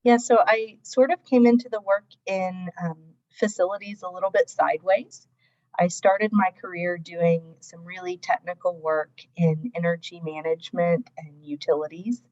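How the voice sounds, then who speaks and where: A woman's voice: lowish voice, steady tone, normal speed, a little mellow, dull audio. A woman panelist reflecting thoughtfully on her career path, repeating words as she goes. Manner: thoughtful, restarts words, many ums. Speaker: webinar panelist.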